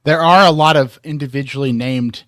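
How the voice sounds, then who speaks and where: A man's voice: medium pitch, moderate pace, fairly bright tone, dry recording. A man with a mid-range voice hosts a casual talk show. He chats and puts questions to guests in a conversational style, and the sound is close and dry. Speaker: podcast host.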